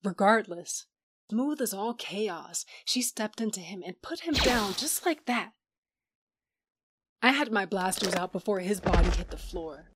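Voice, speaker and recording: A woman's voice: medium pitch, lively intonation, unhurried, a bit bright, a little hiss or hum. An actress playing a sarcastic female pilot and gunslinger in a sci-fi audio drama, with a wry, informal delivery. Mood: wry, tense. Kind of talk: audio drama acting and narration.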